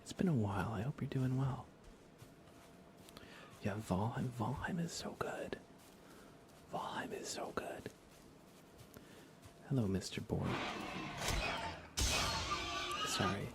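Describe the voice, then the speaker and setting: A man speaks in a soft, whispery ASMR style, low and gravelly with fry. His delivery is hushed and reassuring, moving up and down in pitch as he chats through a game livestream. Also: wildly swooping pitch, slow, pauses often. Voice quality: breathy whisper with some vocal fry. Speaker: livestream host.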